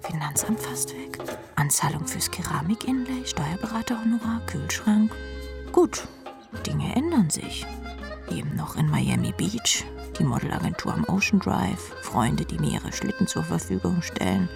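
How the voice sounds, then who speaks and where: A woman's voice: fairly low pitch, very animated, normal speed, vocal fry, whispered, music in the background. A woman playing a jaded, sardonic rock drummer who narrates her own life drily in a radio play. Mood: wry. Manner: dry, sardonic. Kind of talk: scripted first-person radio drama narration.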